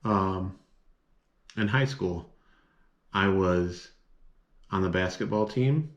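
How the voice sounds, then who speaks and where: Deep-voiced man rambling casually. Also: takes it slowly, highs cut off. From northern United States. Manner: rambling, many filler words. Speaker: vlogger. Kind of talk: casual chat to camera. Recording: echoey room.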